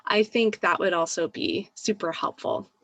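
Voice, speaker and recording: A woman speaking casually in a group discussion, thoughtful and hesitant, pausing as she forms her suggestion. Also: fairly low pitch, vocal fry, fairly bright tone, no room sound. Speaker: meeting participant.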